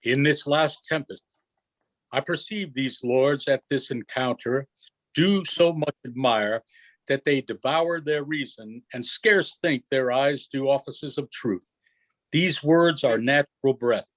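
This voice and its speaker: A man reading the part of a commanding, forgiving yet stern duke and magician in an unrehearsed Shakespeare play, in a measured, declamatory way. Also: medium pitch, fairly bright tone, rough recording. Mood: measured, grave.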